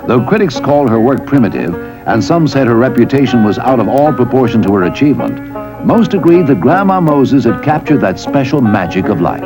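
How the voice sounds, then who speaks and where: A man's voice: mid-range voice, big pitch swings, a little fast, low-quality recording. An American man reading scripted historical documentary narration. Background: music.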